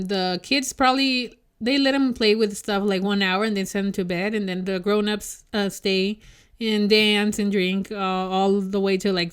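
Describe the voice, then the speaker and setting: A woman's voice: mid-range voice, smooth. A young woman whose first language is Spanish, co-hosting a podcast in casual chat and telling stories with frequent laughs. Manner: giggly, chatty storyteller. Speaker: podcast co-host.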